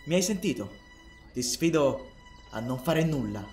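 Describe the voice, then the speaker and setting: A man's voice: on the high side, animated, a little slow, music in the background. A man dubbing a lazy, blunt, nonchalant young slacker in a comedy sketch. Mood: defiant.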